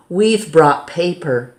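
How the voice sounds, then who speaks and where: Slow, low-voiced woman reading a storybook. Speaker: storyteller reading a children's book. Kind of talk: read-aloud picture book narration. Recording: roomy, echoing indoor sound.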